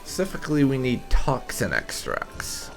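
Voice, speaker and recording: A man's voice: mid-range voice, huge pitch swings, moderate pace, gravelly. A man giving casual gameplay commentary as host, musing and thinking aloud. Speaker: gaming video host. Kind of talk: casual gameplay commentary, lightly role-played. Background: game music.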